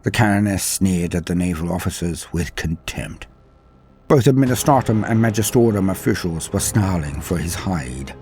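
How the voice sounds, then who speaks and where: A man narrating a dramatic science fiction story in a measured, theatrical way. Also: sits low, animated, darkish tone, faint music behind.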